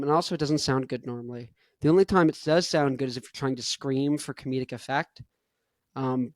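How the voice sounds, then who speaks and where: A young man hosting his own podcast in an energetic, rambling monologue full of "like". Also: medium pitch, no room sound. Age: young adult. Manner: rambling, energetic, heavy use of "like". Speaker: podcast host.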